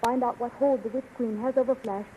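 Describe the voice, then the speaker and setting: An actress playing an anxious, devoted young princess in a 1930s radio serial, earnest and pleading. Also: female voice, on the high side, fairly even intonation, brisk, warm tone, natural voice. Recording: thin, noisy 1930s radio recording.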